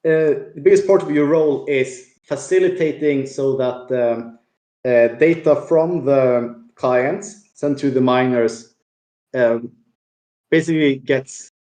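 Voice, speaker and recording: A man's voice: mid-range voice, slow, mellow, reverberant. A man hosting a casual online interview, asking his guest questions and reading questions from the audience. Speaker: host.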